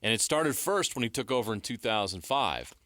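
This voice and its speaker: An American man co-hosting sports radio, giving measured, explanatory analysis in a conversational style on a close studio mic. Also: medium pitch, sing-song, bright, forceful tone, no echo. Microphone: close studio broadcast mic.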